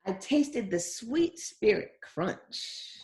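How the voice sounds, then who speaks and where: A woman's voice: mid-range voice, sing-song, extremely slow, darkish tone. A woman voicing a joyful little girl from a Christmas storybook, drawing out her words and singsonging the sound effects. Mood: excited.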